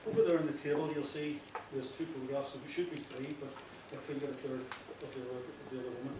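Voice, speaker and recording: A man with a Northern Irish accent giving an informal, earnest speech, often halting and repeating himself. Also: mid-range voice, fairly even intonation. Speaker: playwright giving a thank-you speech. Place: echoey indoor hall before an audience. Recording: very echoey, distant, noisy with clicks.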